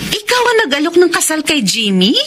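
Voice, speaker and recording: A woman playing a surprised young best friend in a radio drama, animated and questioning, with a Filipino accent. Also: high-pitched, fairly quick, slight background noise, heavily acted. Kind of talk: Tagalog radio drama acting.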